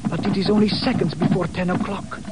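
Man acting a dutiful foreign colonel, fairly high voice, formal and earnest, vintage radio drama, noisy clicking recording. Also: steady tone, close to an everyday voice. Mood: dismayed. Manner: formal, deliberate phrasing.

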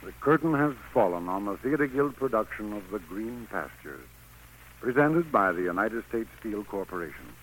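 A man's voice: lowish voice, big pitch swings, moderate pace, a bit bright, slight background noise, poor audio. A man announcing formally on a 1940s radio program. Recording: vintage 1940s radio broadcast.

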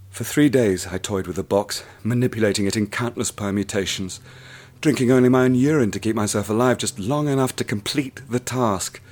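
An actor narrating as a ruined, obsessive man, telling his tale slowly and grimly in first person. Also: fairly low pitch, natural voice. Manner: slow, grim first-person storytelling. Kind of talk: radio play narration. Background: music.

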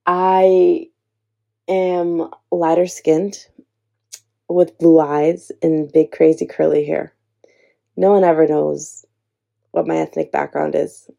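Husky-edged warm woman talking earnestly. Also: low-pitched, flat delivery, takes it slowly, long pauses. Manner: earnest, encouraging, addresses listener as sis. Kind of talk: heartfelt personal talk to camera.

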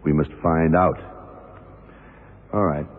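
An actor playing a shrewd, methodical police detective in an old radio drama, questioning in a deliberate, probing way. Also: male voice, very deep voice, fairly even intonation, full character voice. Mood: analytical. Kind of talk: 1960s-70s radio drama acting. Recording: tinny, muffled old radio recording.

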